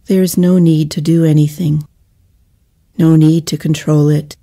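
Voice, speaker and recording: Very dark, mellow woman guiding meditation. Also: sits low, level tone. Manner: soothing, measured, gently pausing phrasing. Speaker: meditation guide. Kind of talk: guided meditation.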